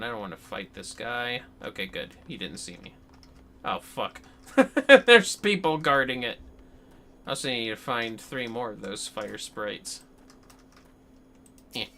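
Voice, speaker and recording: Playful, sweary game streamer over music. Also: mid-range voice. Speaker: game livestreamer. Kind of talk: casual gameplay commentary with chat replies.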